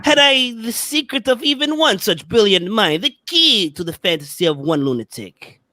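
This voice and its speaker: Someone playing an animated, musing asylum doctor. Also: medium pitch, normal speed, dry recording. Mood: fascinated. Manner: musing, theatrical. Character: fascinated male asylum doctor dictating case notes.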